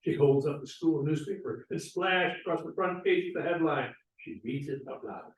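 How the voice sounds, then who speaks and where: A man's voice: fairly high pitch, moderate pace. A man reading out stage directions at an informal script table read. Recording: echoey room, distant mic.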